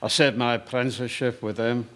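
A man's voice: mid-range voice, little pitch movement, brisk, fairly bright tone. A man in his sixties with a Liverpool accent, a stone carver telling his story in an interview, hesitant with frequent fillers. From England. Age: 60s. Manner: hesitant, with many fillers and restarts.

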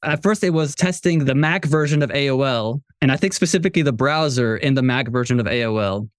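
A man from Morocco talking conversationally as a podcast guest, telling his own story as a software entrepreneur. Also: mid-range voice, fairly quick, no room sound, clean studio sound.

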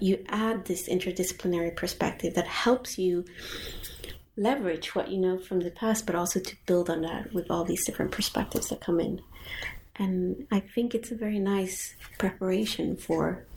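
A female educator explaining off the cuff, thoughtful, with pauses and fillers. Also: fairly low pitch, darkish tone, slight background noise, rough recording. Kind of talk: unscripted explanation.

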